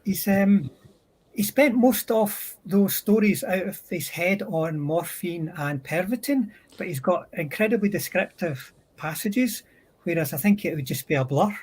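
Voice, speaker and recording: A man with a husky voice chatting casually as a guest on a hobby livestream. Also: sits high, unhurried, a little mellow.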